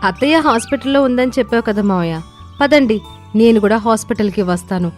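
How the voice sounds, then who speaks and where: A woman voicing a shrewd, sarcastic young village daughter-in-law in an animated moral story, outwardly polite but firm. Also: on the high side, a little fast, soft background music, close to an everyday voice. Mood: sly politeness. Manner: firm, slyly sweet.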